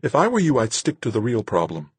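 A man voicing a defiant, self-assured woman crew member in an audiobook, with a firm, sharp way of talking. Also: mid-range voice, wildly swooping pitch, fast, breathy edge, dry recording, voice pushed up, full character voice.

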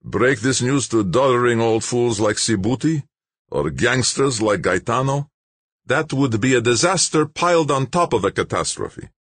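A man's voice: medium pitch, lively intonation, normal speed, no room sound, voice pushed up, lightly acted. A man voicing a condescending male official who lectures in a raised, haughty voice. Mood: lecturing.

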